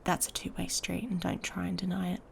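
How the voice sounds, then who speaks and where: A woman playing an irritated, haughty female werewolf in an intimate ASMR roleplay, breathy and often whispering, taunting and seductive. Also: on the low side, completely flat delivery, a little hiss or hum. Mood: taunting, grudging desire.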